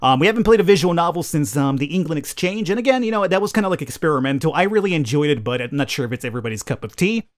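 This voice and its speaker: A man's voice: on the high side, animated, racing, few pauses. A man hosting a let's play, reading game narration dramatically and adding informal commentary. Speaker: gaming YouTuber, let's play host. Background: game music.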